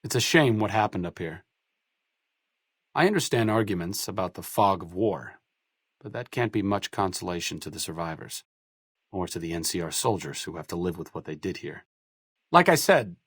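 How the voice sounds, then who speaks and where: A man's voice: fairly low pitch, expressive, normal speed, pauses often, slightly rough, no room sound. A man playing a wry, educated, idealistic doctor-researcher in a post-apocalyptic video game, articulate and sardonic. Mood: casual, thoughtful, then earnest. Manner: dry, articulate, sardonic, self-deprecating. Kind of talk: video game companion dialogue.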